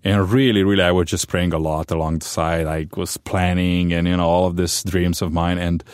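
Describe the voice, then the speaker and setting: Deep-voiced Romanian-accented man, loud storyteller. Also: flat delivery, moderate pace, smooth, dry recording, clean studio sound. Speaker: pastor, guest. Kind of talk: conversational radio interview.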